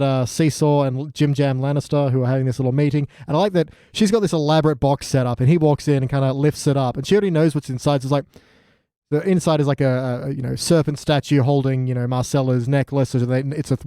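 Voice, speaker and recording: A man's voice: mid-range voice, steady tone, fast, mellow, smooth, no room sound, studio quality. A man co-hosting a podcast in a casual, sweary chat, full of joking asides and mock quotes. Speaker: podcast co-host. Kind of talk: casual chat recapping a TV show.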